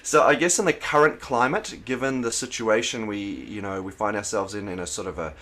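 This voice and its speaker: A middle-aged South African pastor, talking in a relaxed interview, thoughtful and pausing with fillers and restarts. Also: male voice, lowish voice, a little hiss or hum. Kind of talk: casual interview conversation.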